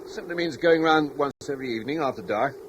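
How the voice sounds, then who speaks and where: An actor playing a calm, commanding middle-aged husband and guard leader, warmly reassuring, in a radio drama. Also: male voice, on the high side, quick pace, slight background noise, natural voice.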